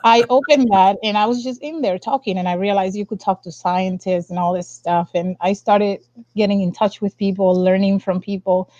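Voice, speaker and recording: A woman's voice: mid-range voice, fairly even intonation, normal speed, dark tone, dry recording. Young woman on a muffled video call. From Dominican Republic. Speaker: interview guest, science communicator. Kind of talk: casual personal storytelling. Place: indoor room, on a video call. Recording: dull lo-fi video call audio.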